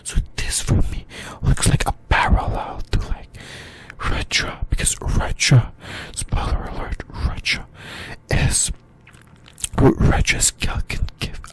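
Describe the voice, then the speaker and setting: Someone whispering asmr style, breathy no voice, youtuber doing casual nerdy anime talk, swears a little. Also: slow pace. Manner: informal fan talk with mild swearing. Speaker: YouTuber, host of an anime fan channel.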